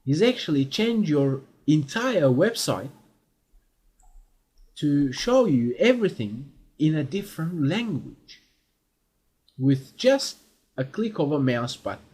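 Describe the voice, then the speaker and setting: Husky man explaining a software tutorial. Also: medium pitch. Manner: explanatory, with frequent fillers and rhetorical checks. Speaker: instructor. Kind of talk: screen-recorded software tutorial.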